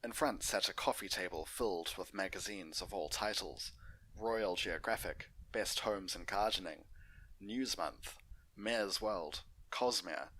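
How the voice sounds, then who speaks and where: A man's voice: deep voice, measured pace, a bit bright, low-quality recording. A man narrating a fan fiction story as an unedited read-aloud.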